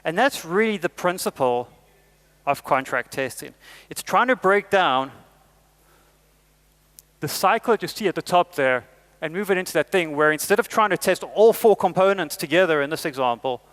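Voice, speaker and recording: A man's voice: on the high side, animated, moderate pace, fairly bright tone. A middle-aged man from South Africa with a South African accent, giving a conference talk with emphatic rises on key points and frequent "uh" fillers. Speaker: conference speaker.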